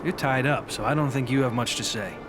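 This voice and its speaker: An actor playing a composed, commanding leader of a monster band in a radio drama, terse and controlled. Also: male voice, mid-range voice, brisk, close to an everyday voice. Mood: dry, firm. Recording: dramatic music behind.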